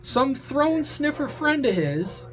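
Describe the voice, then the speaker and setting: A man's voice: extremely high pitch, very animated, slow pace, a bit bright, a little hiss or hum. A man talking to viewers in a casual, opinionated rant, blunt, sweary and rambling, like a hobby radio vlogger.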